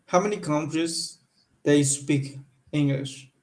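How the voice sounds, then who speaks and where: A man with a Spanish accent practicing English on a video call. He speaks haltingly and searches for words. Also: on the high side, a little mellow. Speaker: English learner. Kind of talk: conversation practice.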